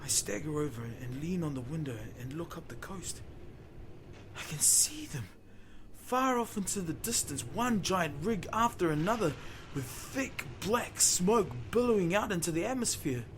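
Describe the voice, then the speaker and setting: A man's voice: a little high, animated, normal speed, background noise, close to an everyday voice. A man playing a time-travelling fisherman who tells his story in the first person, theatrical and anguished, in an audio drama. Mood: urgent, dramatic. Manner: theatrical, swings from breathy murmur to anguished shouting.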